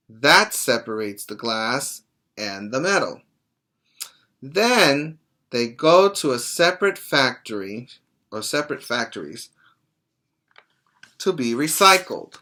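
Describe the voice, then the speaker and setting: A middle-aged man reads aloud to young children as their teacher. His voice is bright and forceful, and his pace is slow. He is deliberate and instructive, speaking as if to make each point clear to a small child. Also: medium pitch. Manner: deliberate, didactic, stopping to explain and check understanding. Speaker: preschool teacher. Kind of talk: read-aloud to young children with plain explanations. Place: small indoor room at home.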